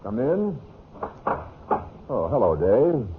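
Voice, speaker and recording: A man's voice: mid-range voice, sing-song, slow. A man playing a wronged husband and genial but pointed business partner in a vintage radio drama. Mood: cordial, pointed. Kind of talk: 1950s radio drama acting. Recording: muffled, hissy old radio recording.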